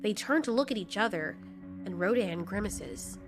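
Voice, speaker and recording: A woman's voice: mid-range voice, animated, moderate pace. Woman narrating a scripted story over music.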